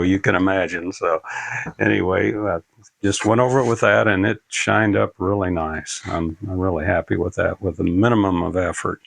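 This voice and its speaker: An older man giving a casual, unscripted how-to demonstration, talking in a halting, plain-spoken, folksy way as he explains each step. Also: low voice, gravelly, no room sound. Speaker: presenter of a radio repair tutorial.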